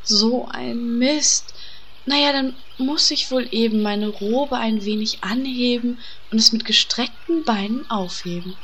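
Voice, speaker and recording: A woman acts out a vain, bossy female guild leader in a comedy audio play. Her voice is fairly high and very bright, with a commanding, coquettish manner. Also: slight background noise. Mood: coy. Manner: commanding, brisk. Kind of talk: comic audio drama parody.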